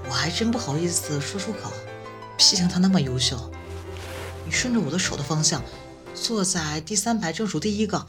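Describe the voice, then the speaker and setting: A woman's voice: mid-range voice, lightly acted. A woman voicing a cheeky, boastful college roommate with a teasing, chatty manner in a campus audio drama. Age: young adult. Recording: music playing behind the voice.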